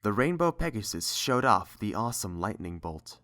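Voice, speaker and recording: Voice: mid-range voice, big pitch swings, moderate pace, a bit bright. A narrator reading scripted story prose.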